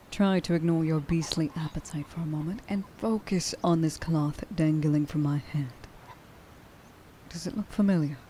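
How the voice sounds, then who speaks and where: A woman playing a stern, vengeful werewolf huntress in an ASMR roleplay, speaking to the listener with deliberate contempt and menace, often slipping into a breathy whisper. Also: sits low, warm tone, a little hiss or hum. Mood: cold menace. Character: stern, vengeful female werewolf huntress, grieving a lost friend.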